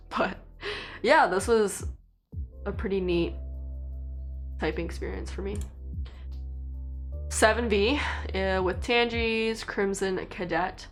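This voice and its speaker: A young woman from China, a native Mandarin speaker, chatting casually with viewers on a livestream in a relaxed, rambling way full of fillers. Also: medium pitch, takes it slowly, pauses often, faint music behind. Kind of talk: casual live-stream chat with viewers.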